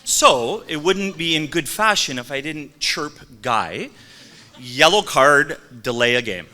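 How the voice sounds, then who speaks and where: A man in his forties from Canada gives a hall of fame speech with a bright, forceful voice. He teases his former teammates in a joking way and fills his pauses with lots of ums. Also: on the high side, expressive, a little hiss or hum. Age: 40s. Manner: joking, teasing, lots of um fillers. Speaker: former player giving an induction speech.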